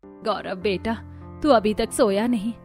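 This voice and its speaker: Gentle middle-aged mother female voice actor, consoling delivery for animated family story, light music bed. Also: mid-range voice, measured pace, lightly acted. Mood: concerned, consoling.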